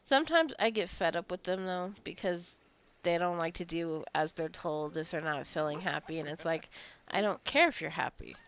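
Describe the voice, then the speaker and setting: Woman gamer, low gravelly voice, casual tired ramble, jokey scolding. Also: moderate pace, few pauses, fairly bright tone. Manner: rambling, scolds her game characters jokingly. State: tired, wants coffee. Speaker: gameplay streamer. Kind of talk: casual gameplay commentary.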